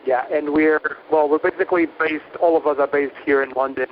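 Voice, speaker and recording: A man's voice: fairly high pitch, steady tone, fairly quick, phone-quality sound, a little hiss or hum. A young man from Portugal, a band's guitarist and singer, talking loosely with lots of fillers in a phone interview. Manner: rambling, hesitant, many fillers.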